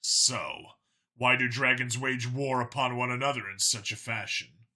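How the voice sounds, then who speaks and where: A man's voice: mid-range voice, steady tone, a little slow, sharp tone, pitched below the performer's own voice. A man voicing a weary, skeptical human cop in an audiobook, plain-spoken and wry. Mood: wry, curious. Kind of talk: audiobook character dialogue.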